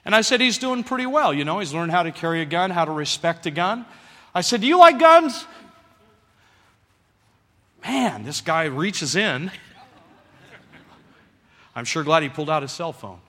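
A man's voice: on the high side, moderate pace, pauses often, in a large hall. A man preaching a sermon, telling a story and calling on the congregation to answer him. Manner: animated, calls for congregation response.

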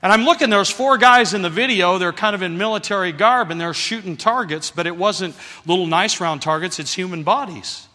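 Animated male preacher in an echoey hall. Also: high-pitched, brisk, hardly any pauses. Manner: animated, calls for congregation response. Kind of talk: sermon.

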